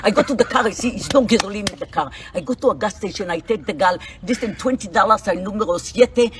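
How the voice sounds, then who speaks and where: An older woman telling a story loudly and with animation. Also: mid-range voice, quick pace.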